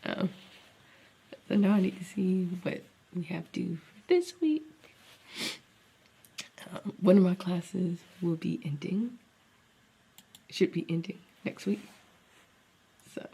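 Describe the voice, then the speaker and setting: A woman's voice: on the low side, vocal fry, warm tone, slightly rough. A young woman, a teacher, talking casually to camera in a rambling, think-aloud way, sounding congested from a cold. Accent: Southern US. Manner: rambling, thinking aloud, drops to near-whisper. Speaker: special education teacher and vlogger. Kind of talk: casual vlog talk to camera.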